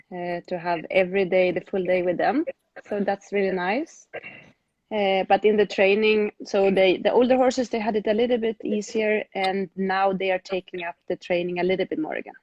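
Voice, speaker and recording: A woman's voice: mid-range voice, steady tone, moderate pace, a little mellow, no room sound. A woman show jumper answers interview questions in an easy, conversational manner. The sound is dry and close with a slightly muffled, lo-fi quality. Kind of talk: live online interview.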